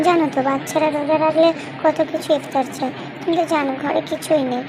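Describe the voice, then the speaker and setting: A woman's voice: sits high, fairly even intonation, fast talker. A woman voicing a worried mother from a poor household in cartoon dialogue, over a noisy recording. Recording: clearly noisy background.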